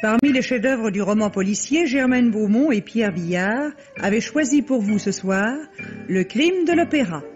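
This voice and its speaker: A woman announcing radio drama credits in 1950s French radio style, formal and deliberate. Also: on the high side, lively intonation, a little mellow. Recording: dull vintage radio recording. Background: music.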